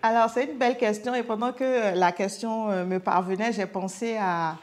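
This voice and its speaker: A woman from Africa, a guest in a podcast chat, talking in an emphatic, punchy, animated way. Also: mid-range voice, normal speed. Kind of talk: casual chat.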